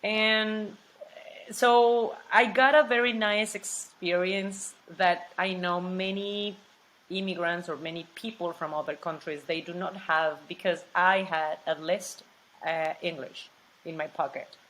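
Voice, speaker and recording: A woman from Mexico with a Mexican Spanish accent, speaking English as a second language as an interview guest, talkative and animated, often repeating words and saying "like". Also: on the low side, unhurried, slight background noise, low-quality recording. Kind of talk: casual interview.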